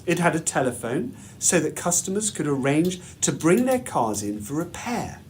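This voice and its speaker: A man reads a children's story aloud in a measured, deliberate, expressive way, like a headmaster at storytime. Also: fairly high pitch, a little hiss or hum.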